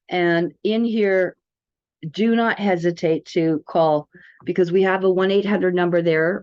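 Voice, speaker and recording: A woman giving an informal software demo as a practitioner, in a chatty, rambling, salesy manner. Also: fairly low pitch. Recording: dull, muffled lo-fi sound.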